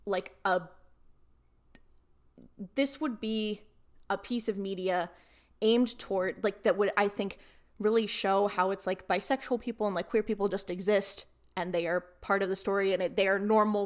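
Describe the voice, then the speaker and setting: A woman's voice: mid-range voice, fairly even intonation, normal speed. A young woman taking part in a casual, playful podcast debate as a panelist.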